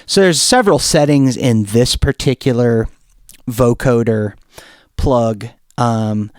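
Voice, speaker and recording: A man with a mid-pitched voice walks through software in a casual tutorial style. He talks informally, thinking aloud, and the sound is close and dry. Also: slow pace, no echo. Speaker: music producer demonstrating software.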